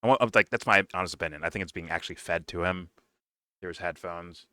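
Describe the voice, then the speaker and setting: A young man in his twenties reacts to a clip in animated, casual commentary that is peppered with swearing. His voice sits a little low but has a forceful edge. The sound is dry and close. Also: quick pace, smooth, no echo. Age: 20s. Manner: animated, swearing, laughing often. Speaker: reaction show host.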